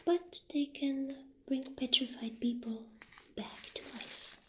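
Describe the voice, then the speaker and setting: Soft, whispery woman in slow ASMR roleplay. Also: sits high. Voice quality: soft, breathy, often whispery. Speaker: ASMR performer playing a witch shopkeeper. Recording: roomy echo, audible background noise.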